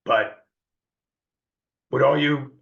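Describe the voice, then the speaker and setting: A hoarse man giving a live trading lesson in a conversational way, often repeating himself and checking in with viewers. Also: mid-range voice, drawn-out words, roomy sound, highs cut off. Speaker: trading educator.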